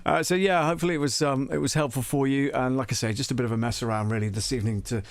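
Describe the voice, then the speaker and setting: A man's voice: medium pitch, fairly even intonation, very fast, no echo. A man hosting a live tutorial in a casual, rambling, self-deprecating way. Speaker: host of a live audio production tutorial.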